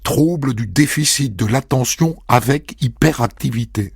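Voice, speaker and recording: A man telling a first-person story as a narrator, in a measured, intimate reading. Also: medium pitch, vocal fry, husky, no echo. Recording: dry studio voice over soft music.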